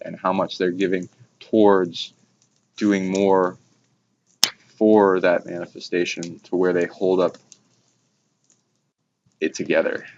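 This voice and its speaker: A man talking casually on spiritual matters in long, rambling, run-on sentences. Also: low voice. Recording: dull lo-fi recording with background noise.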